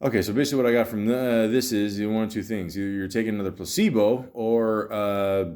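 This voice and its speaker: Casting: male podcast host, lowish voice, loose rambling delivery with dry, profane asides. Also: fairly even intonation, a little mellow. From Canada. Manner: rambling, halting, profane, sardonic. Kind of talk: casual comedic podcast monologue, reading aloud with asides.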